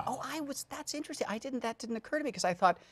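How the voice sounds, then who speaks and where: A man's voice: very high voice, expressive, very fast. A man interviewing guests on a talk show in casual conversation.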